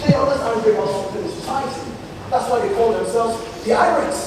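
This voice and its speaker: A man's voice: extremely high pitch, fast talker. An actor playing a sarcastic, aggrieved prosecutor in a satirical stage play, a man with a Nigerian accent arguing pompously and emphatically. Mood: disdainful. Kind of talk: projected theatrical courtroom argument. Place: large theatre stage, picked up from afar. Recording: far-off echoey hall recording, noisy.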